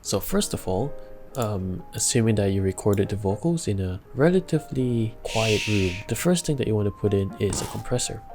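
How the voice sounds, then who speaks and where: Low-voiced laid-back young man teaching. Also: normal speed, music in the background. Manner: relaxed, chatty, laughs between steps, many fillers. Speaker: music production tutor. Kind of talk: casual screen-recorded tutorial.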